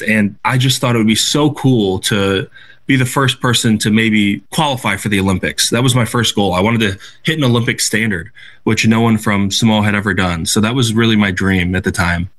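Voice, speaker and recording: A man's voice: lowish voice, a bit bright. A young American man, a guest athlete, answering interview questions in an earnest, reflective way, often restarting his sentences. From United States. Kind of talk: radio interview.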